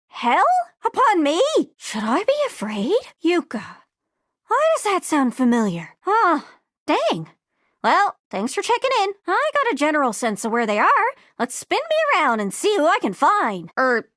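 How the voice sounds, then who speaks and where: A very high, swooping young voice for a video game globe come to life. She is excitable and sweet, chatting and gushing in a goofy, self-deprecating way. The sound is close and dry. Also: dry recording, exaggerated voice. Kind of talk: video game character voice acting.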